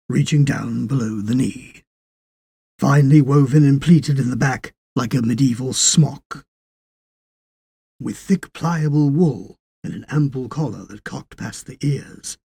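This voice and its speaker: Slow, husky gravelly man narrating a story. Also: mid-range voice, a little mellow, no room sound. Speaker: audiobook narrator. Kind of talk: scripted literary story narration.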